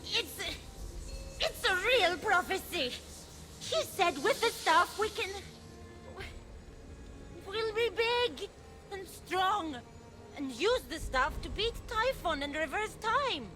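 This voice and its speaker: Casting: insecure girlish goddess in a video game, slow, plaintive, swinging pitch, music behind. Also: sing-song, pushed and bright, full character voice. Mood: defensive. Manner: hesitant, plaintive outbursts. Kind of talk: animated video game acting.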